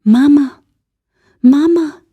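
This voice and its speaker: A woman's voice: high voice, slow pace, mellow, highs cut off, voice pushed up. A woman voicing tiny, accusing baby spirits in an eerie folktale. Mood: calling. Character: vengeful ghost babies, tiny accusing spirits.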